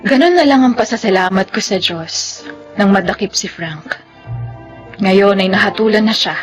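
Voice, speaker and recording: Mother narrator, actress: middle-aged, sorrowful and resigned, scripted radio storytelling over a clear music bed. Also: mid-range voice, normal speed, natural voice. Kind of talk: scripted first-person radio drama narration.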